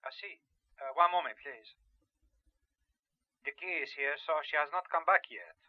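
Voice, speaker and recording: An actor playing a courteous, precise hotel receptionist in an audio drama, speaking formally over the telephone. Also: male voice, on the high side, moderate pace, no room sound. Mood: polite. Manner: polite, formal, careful. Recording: dull, band-limited telephone line.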